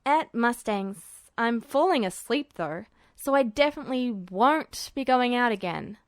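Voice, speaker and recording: A woman's voice: on the high side, expressive, measured pace, dry recording. A woman plays a blunt teenage boy from an audio story. He grumbles and retorts in casual slang with a sarcastic edge, in a close, dry recording. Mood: casual, sly.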